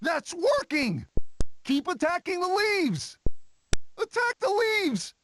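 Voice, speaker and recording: A woman voicing an excitable young cartoon animal sidekick in a game, exclaiming and urging. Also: extremely high pitch, slow pace, a little hiss or hum, heavily acted. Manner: exclaiming, urgent, cheering.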